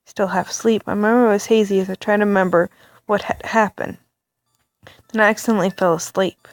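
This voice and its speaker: A woman reads a story game aloud into a close mic, reacting as she goes and often stumbling over words. Her pitch sits mid-range, and the sound is dry with no room echo. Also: brisk. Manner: animated, reactive, stammering asides. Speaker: let's play reader.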